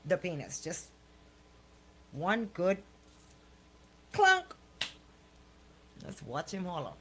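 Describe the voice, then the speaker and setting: A woman's voice: fairly low pitch, wildly swooping pitch, drawn-out words, pushed and bright, slight background noise. A woman radio host bantering and commenting on the news in a blunt, exclamatory, colloquial way.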